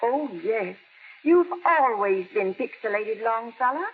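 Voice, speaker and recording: An actress playing a prim, dotty small-town spinster, sweetly matter-of-fact, in old radio comedy style. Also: female voice, on the high side, lively intonation, unhurried. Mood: prim, certain. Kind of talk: 1930s-40s radio comedy-drama acting. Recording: tinny, hissy old radio broadcast.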